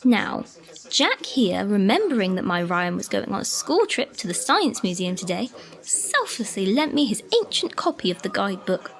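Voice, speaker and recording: An amateur actress playing a wry, knowing care worker in a radio play, gently sardonic and mock-scolding. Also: female voice, medium pitch, sing-song, moderate pace. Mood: amused, explaining. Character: wry, conspiratorial female care-home worker, knowing and affectionate.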